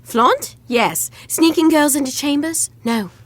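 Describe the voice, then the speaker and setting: A brisk, bookish young woman in a rom-com audio drama. Her highish voice moves expressively as she talks with wry, bossy insistence and slightly flustered confidence. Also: animated, slight background noise. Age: young adult. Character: brisk, bossy, studious young woman scheming fake engagement. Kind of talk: naturalistic romantic comedy audio drama acting.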